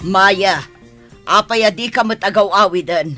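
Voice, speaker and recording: A woman's voice: medium pitch, measured pace, music in the background, lightly acted. A woman with an Ilocano accent playing an exasperated, sarcastic mother who scolds in comedy radio drama style. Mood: impatient.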